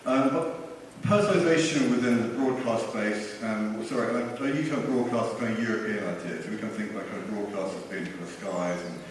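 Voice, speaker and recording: A man's voice: on the low side, a little fast. A man speaking on a conference panel, hesitant and leaning on filler phrases. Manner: hesitant, leans on "kind of" and "you know". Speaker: panelist, tech co-founder. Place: large conference hall. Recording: echoey hall from far off, faint noise.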